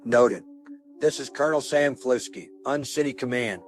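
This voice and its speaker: A resolute male colonel acted by a man speaks with blunt authority in an audio drama. His voice sits at an ordinary male pitch, and his phrasing is clipped and military. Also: normal speed, faint music behind, natural voice. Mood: firm, grim. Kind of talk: audio drama radio dialogue.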